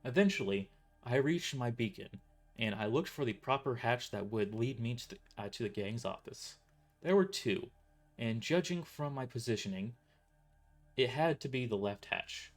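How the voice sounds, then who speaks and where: A man's voice: mid-range voice, expressive, moderate pace. Man narrating a story over music. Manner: stumbles over words while reading. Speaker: author narrating own novel. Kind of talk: first-person dramatic story narration.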